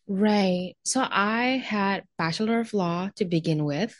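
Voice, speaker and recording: A woman's voice: mid-range voice, slow pace, no echo, studio quality. A young adult woman with an Indonesian accent, a guest chatting casually about her background in an interview. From Jakarta, Indonesia. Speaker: podcast guest. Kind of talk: casual interview chat, telling personal background.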